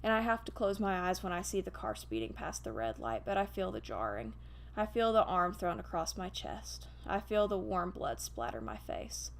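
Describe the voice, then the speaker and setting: A gentle guardian angel voiced by a woman tells an intimate story of a beloved human. The voice has a creaky edge and a wistful, grieving temper, in the manner of an audio storybook. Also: mid-range voice, slight background noise.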